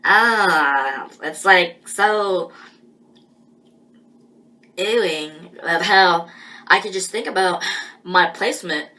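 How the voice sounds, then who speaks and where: Slow, halting woman with a bright voice. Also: fairly low pitch, poor audio. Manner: halting and rambling, with many pauses and restarts. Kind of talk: personal spoken monologue.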